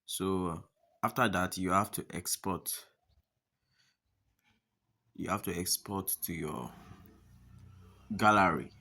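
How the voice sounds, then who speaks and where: A man's voice: low voice, slow pace, gravelly, pauses often, pushed and bright. A man narrating a step-by-step app tutorial, often repeating his phrases and instructions. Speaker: tutorial host.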